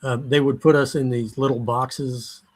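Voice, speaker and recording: An older American man giving an oral history interview, speaking slowly and haltingly with many fillers and restarts. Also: medium pitch, flat delivery. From United States.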